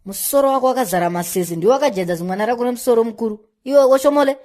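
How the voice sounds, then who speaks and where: A woman's voice: on the high side, rapid-fire, dark tone, close to an everyday voice. A woman with a Zimbabwean accent voicing a haughty, easily offended young cartoon neighbour with a bossy manner. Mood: indignant, threatening. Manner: bossy, mixing slang with formal English. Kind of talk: animated comedy acting.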